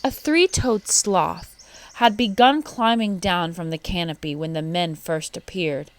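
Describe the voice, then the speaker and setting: Slow-reading woman telling a story. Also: on the low side. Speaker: storybook reader. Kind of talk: expressive children's story read-aloud.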